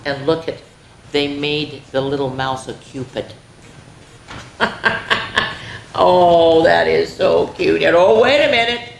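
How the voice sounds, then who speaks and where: A woman with a low voice reads to children in an echoey room with a little background noise. She tells stories dramatically and breaks off for exclamatory, chatty asides to her young listeners. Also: slow pace, gravelly, long pauses. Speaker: children's story time host. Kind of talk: animated read-aloud storytelling with chatty asides to children. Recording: roomy echo, faint background noise.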